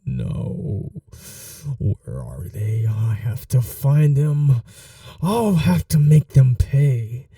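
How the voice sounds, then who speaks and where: A man voicing an obsessive, grieving male vampire in an audio roleplay, whispering softly and swinging between menace and desperate pleading. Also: mid-range voice, sing-song, extremely slow, gravelly, dry recording, clean studio sound, far from the mic, exaggerated voice. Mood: vengeful grief. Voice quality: soft whispering, intimate.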